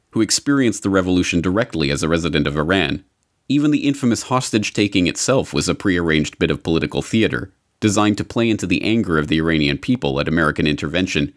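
A man narrating scripted political commentary with articulate, formal phrasing. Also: sits low. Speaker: host and narrator.

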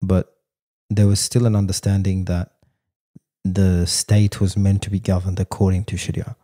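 A man co-hosting a podcast, arguing his points in casual, animated debate and often saying "bro". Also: low-pitched, normal speed. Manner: animated, argumentative, says "bro" a lot. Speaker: podcast co-host.

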